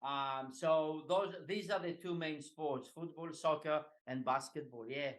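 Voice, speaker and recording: Casting: man from Greece, forties, slightly high voice, loose talker with fillers and small laughs, on a video call. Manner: relaxed, halting, many fillers and light laughs. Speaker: guest, executive coach. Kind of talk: casual interview chat.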